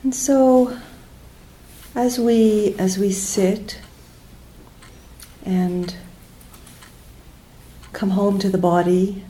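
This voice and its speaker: Slow, mellow woman guiding meditation. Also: mid-range voice, steady tone, low-quality recording. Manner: gentle, unhurried, pausing between short phrases. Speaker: meditation teacher. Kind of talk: guided meditation.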